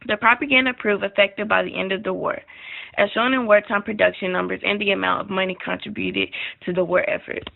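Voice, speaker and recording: The recording is narrow and phone-like. A woman reads documentary narration from a script, with plenty of vocal fry at a medium pitch. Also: fairly even intonation, brisk. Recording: muffled, phone-like narrow band.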